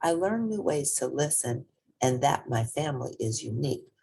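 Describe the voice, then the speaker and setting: A woman's voice: rumbling low, animated, normal speed, vocal fry, dark tone, a little hiss or hum, exaggerated voice. A woman voicing an earnest, affectionate and eager grandchild in a children's storybook. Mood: sincere, grateful.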